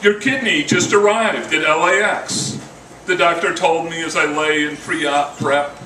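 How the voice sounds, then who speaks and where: A man's voice: fairly high pitch, fairly even intonation, a little slow. An older man giving a live poetry reading with casual introductions, in a wry, dryly humorous manner. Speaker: poet reading own work. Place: indoor coffee house reading. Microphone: stand microphone, recorded by camera from a distance. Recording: roomy, distant mic, noisy venue.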